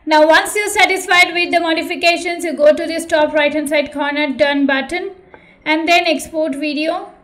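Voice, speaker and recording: A woman's voice: high voice, fairly even intonation, moderate pace, fairly bright tone. A woman presenting an online tutorial in a polite, earnest way. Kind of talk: tutorial narration and channel appeal.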